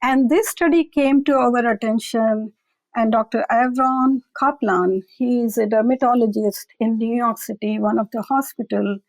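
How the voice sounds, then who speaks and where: A woman with a fairly high, gravelly voice talks through her lab work in a measured, explanatory way. She sounds close and dry, with no room around her. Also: darkish tone, no room sound, low-quality recording. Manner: measured, explanatory, occasional fillers. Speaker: mycology lab director, interview guest. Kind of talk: conversational scientific explanation.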